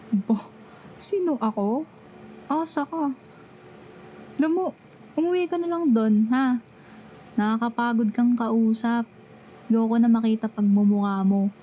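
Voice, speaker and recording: A woman's voice: fairly high pitch, mellow, a little hiss or hum, rough recording. A young woman with a Filipino accent playing a jealous, sulky best friend who fakes indifference in an ASMR roleplay. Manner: dramatic, sulky, sarcastic. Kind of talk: ASMR roleplay, one-sided dialogue.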